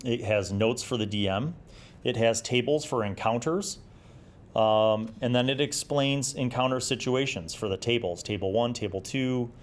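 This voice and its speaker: A man's voice: mid-range voice, moderate pace. Middle-aged man chatting through a review. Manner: enthusiastic, informal, rambling. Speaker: host of a review series. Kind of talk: casual chatty magazine review, commenting while paging through.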